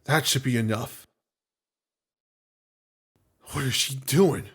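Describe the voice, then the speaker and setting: A man's voice: medium pitch, slow pace, a bit bright, breathy edge, full character voice. A man playing an anxious, caring space crewman in a sci-fi audio drama, speaking in an earnest, worried way. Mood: worried, urgent.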